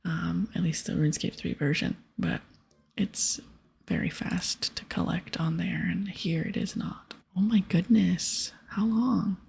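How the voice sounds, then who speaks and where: Asmr gamer lady whispering super breathy w some creaky fry, soft n hushed, casual rambly gameplay talk. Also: fairly low pitch, steady tone, measured pace. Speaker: ASMR gaming video creator. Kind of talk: ASMR whispered gameplay commentary with quest dialogue read aloud.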